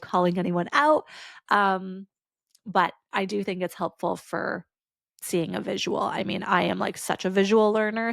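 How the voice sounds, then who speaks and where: A woman's voice: medium pitch, gravelly, no room sound, clean studio sound. A woman hosting a solo podcast, talking casually with lots of "like" fillers, her voice stuffy and congested. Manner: chatty, casual, with frequent "like" fillers. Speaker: podcast host.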